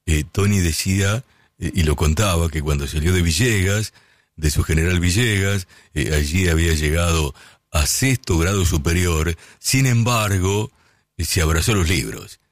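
A man's voice: low voice, moderate pace, no room sound, studio quality. A man hosting a radio show, introducing an archival clip with frequent filler sounds. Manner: warm tribute, many filler sounds. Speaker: radio host. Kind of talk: radio presentation.

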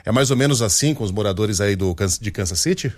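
A man's voice: fairly low pitch, expressive, fast, no room sound. A man hosting from the studio, asking long rambling questions in a joking, casual tone. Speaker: studio host. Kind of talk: casual chat.

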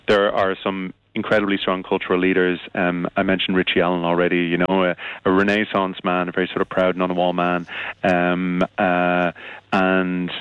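Deep-voiced Irish man, halting and thoughtful. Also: completely flat delivery, clear, resonant tone, no echo, phone-quality sound. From Ireland. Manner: hesitant, many ums, thoughtful. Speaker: arts centre marketing manager, guest. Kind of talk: casual radio interview.

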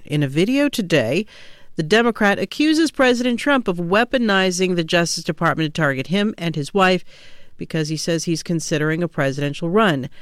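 A woman reading the radio news in a measured, formal newscast style from a studio. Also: fairly low pitch, no echo. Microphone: studio microphone.

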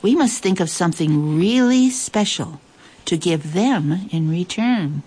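A woman's voice: fairly low pitch, animated, unhurried, mellow, husky. A woman voicing a proud, gracious, delighted queen in a storybook, with a regal, gushing manner. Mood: delighted, grateful. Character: proud, delighted cat-loving island queen, gracious and eager. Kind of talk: storybook character reading.

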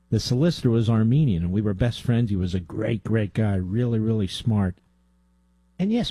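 A man's voice: fairly low pitch, dark tone, breathy edge, no echo. A man hosting a call-in radio talk show, blunt and emphatic, often cutting in on his callers.

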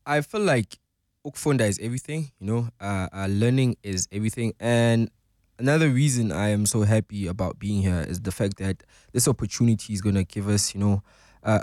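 Lowish South African man, hesitant casual talk. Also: darkish tone, no room sound, studio quality. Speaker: community radio presenter guest. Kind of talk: casual interview answers.

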